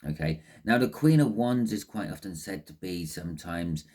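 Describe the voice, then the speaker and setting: Man, very low voice, husky and phlegmy, dark tone, rambling tarot reader, restarts a lot. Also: expressive, moderate pace. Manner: rambling, repeats words and restarts often. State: phlegmy throat. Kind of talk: casual tarot reading monologue.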